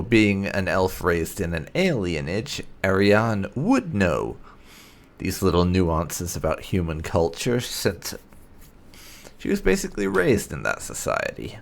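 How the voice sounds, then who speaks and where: A man hosting a video game playthrough, commenting and reading out dialogue choices in roleplay, often halting to think aloud. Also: low voice, vocal fry. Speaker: gaming channel host.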